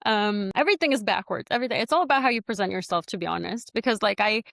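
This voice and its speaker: A woman's voice: medium pitch, fast, vocal fry, dry recording. American woman, spanish her first language, chatty interview guest, lots of likes, dry close sound. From United States. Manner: chatty and animated, many likes and you-knows. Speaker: guest, studio founder and CEO. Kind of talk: casual conversational interview.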